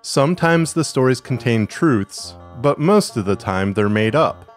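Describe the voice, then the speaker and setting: A man delivers scripted storytelling narration for a fiction podcast. His voice sits at an average male pitch, with music in the background. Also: expressive, unhurried.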